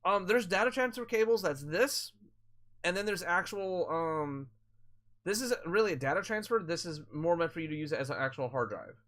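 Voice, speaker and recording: A man's voice: sits high, lively intonation, moderate pace, a bit bright. A man streaming a game and chatting with viewers, rambling through explanations with frequent restarts. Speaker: gaming live streamer. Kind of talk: casual live-stream chat answering viewers.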